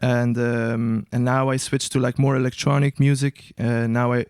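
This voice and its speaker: Smooth-voiced young man, hesitant and searching. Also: mid-range voice, little pitch movement, moderate pace, a little mellow, no echo, studio quality. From Albania. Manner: hesitant, searching for words, many restarts. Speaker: guest musician. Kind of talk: casual interview answers.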